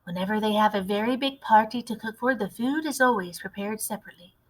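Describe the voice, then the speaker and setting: A woman voicing a loving, shrewd old grandmother in a storybook, measured, fond and admonishing. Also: medium pitch, close to an everyday voice, low-quality recording. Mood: instructing.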